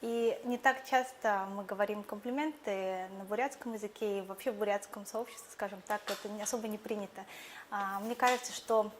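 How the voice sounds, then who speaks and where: A woman's voice: medium pitch, steady tone, a little fast, slight background noise. A young woman whose first language is Buryat, a teacher answering jury questions thoughtfully with fillers and restarts. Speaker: teacher competition contestant.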